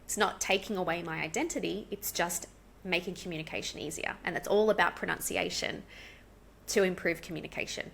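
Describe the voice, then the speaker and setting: Fast-talking Australian woman, gravelly. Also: lowish voice, slight background noise. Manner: fast and animated. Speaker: English coach, podcast guest. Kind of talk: casual interview chat.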